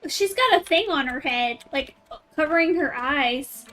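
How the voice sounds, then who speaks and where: A woman's voice: sits high, expressive, unhurried, a bit bright. A woman streamer commenting casually while playing a game, with chatty, wry asides. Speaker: gaming streamer.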